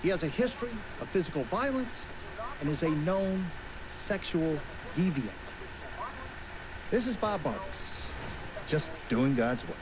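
Smug game newscaster, high and swooping, preachy alarmism, noisy background. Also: male voice, lively intonation, unhurried, pauses often. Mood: grave, sanctimonious. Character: smug, sanctimonious male TV news anchor. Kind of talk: theatrical news broadcast. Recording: game audio under commentary, background noise.

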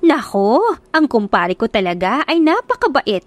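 A fussing, nagging mother of middle age with a raised, scolding voice, as a woman narrator puts her on. Her lines come out high and quick, in a dry, close recording. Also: very animated, no room sound.

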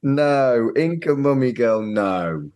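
Bloke on a podcast, casual banter, really animated intonation. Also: male voice, mid-range voice, slow. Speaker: podcast host.

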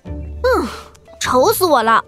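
A woman's voice: high voice, sing-song, slow, fairly bright tone, faint music behind, full character voice. A woman playing a cheeky, chatty young girl in a Chinese martial-arts audio drama, pouty and gossipy.

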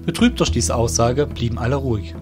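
A man with a medium-pitched voice narrates a fantasy tale. His delivery is scripted storybook reading. Guitar music plays clearly underneath. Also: brisk. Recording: music behind the voice.

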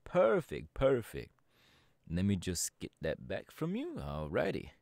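A man's voice: low voice, huge pitch swings, unhurried, gravelly, darkish tone, no room sound, exaggerated voice. A man voice acting a laid-back young male DJ gang boss in an ASMR audio roleplay, talking in an easygoing, chatty drawl. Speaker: voice actor.

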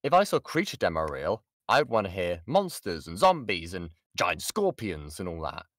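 A man's voice: sits low, huge pitch swings, moderate pace, fairly bright tone, no echo, clean studio sound. A British man giving an informal voice acting lesson and answering questions, often restarting his sentences and stressing key points. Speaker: voice actor giving a workshop. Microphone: close mic on an online voice chat.

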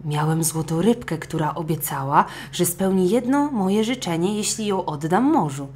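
A woman's voice: lowish voice, expressive, moderate pace, darkish tone, a little hiss or hum, natural voice. A woman voicing a humble, good-natured, henpecked old fisherman in a storybook, meek and earnest.

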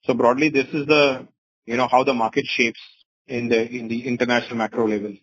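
A man's voice: medium pitch, little pitch movement, brisk, a bit bright, husky, poor audio. A man with an Indian accent answering investors as a company executive on an earnings call, wordy and often saying "you know".

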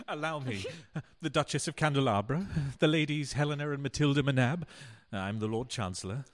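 A man acting in a radio play as a jocular gentleman with a dry, playful manner. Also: mid-range voice, lively intonation, moderate pace, close to an everyday voice. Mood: amused. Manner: playful, wry. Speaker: actor in an amateur radio play.

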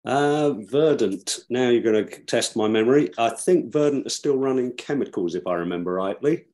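A man's voice: medium pitch, animated, normal speed, a little mellow. A middle-aged man answering questions on a video call, thinking aloud and correcting himself as he goes. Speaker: startup founder. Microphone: webcam on video call.

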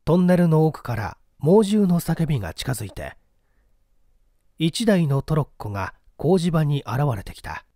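Guy narrating a story, dramatic, kinda higher voice, very dry close sound. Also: sing-song, moderate pace, dark tone, dry recording. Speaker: audiobook narrator, stage performer. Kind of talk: dramatic scripted literary narration.

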